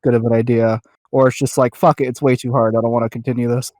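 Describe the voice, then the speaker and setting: Casual podcast person, middle pitch, bit halting, dry sound no room. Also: little pitch movement, a little mellow, dry recording. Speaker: podcast speaker asking questions.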